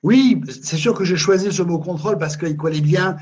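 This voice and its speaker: A man with a slightly high, husky voice talks on a video call as a webinar presenter. He is emphatic, stumbles and repeats words, and often tags his sentences with filler phrases. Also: normal speed, darkish tone. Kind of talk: live webinar talk on management.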